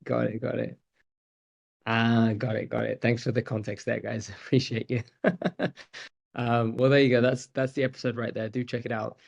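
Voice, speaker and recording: A man's voice: mid-range voice, vocal fry. A man hosting a community call, talking casually with frequent laughs and restarts. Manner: relaxed, chuckling, hesitant restarts. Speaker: host. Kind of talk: casual chat. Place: video call.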